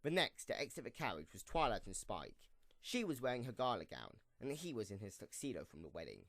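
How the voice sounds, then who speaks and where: A man's voice: medium pitch, huge pitch swings, a little fast, a bit bright, dry recording, studio quality. A man narrating a scripted story for an amateur radio play, reading in a storybook style.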